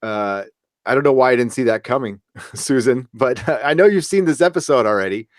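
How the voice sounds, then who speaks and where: A man's voice: mid-range voice, expressive, brisk, no room sound. Casual male podcast host, close dry sound. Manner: informal, blunt, mildly profane. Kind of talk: casual TV review chat.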